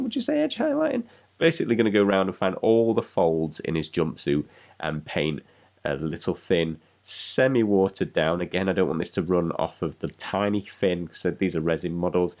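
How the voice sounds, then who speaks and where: A man's voice: sits low, a little mellow. A man with a cold, rambling casually as he talks through painting a miniature. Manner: rambling, self-deprecating, chatty. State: congested with a cold. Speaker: miniature painting channel host. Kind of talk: casual painting tutorial voice-over.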